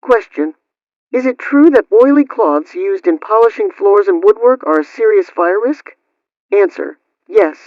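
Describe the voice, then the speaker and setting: A woman's voice: medium pitch, animated, measured pace, close to an everyday voice. The sound is a dull, narrow vintage radio broadcast with very little room echo. A woman plays a prim homemaking hostess of the 1920s, reading scripted household advice. Her delivery is formal and instructional. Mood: formal, instructive. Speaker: host. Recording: dull, band-limited old-radio sound.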